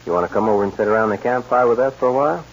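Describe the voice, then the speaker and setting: An actor playing a level-headed, sociable ranch foreman in a 1940s radio western, brisk and commanding yet friendly. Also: mid-range voice, husky. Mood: concerned, friendly. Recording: noisy, dull old radio recording.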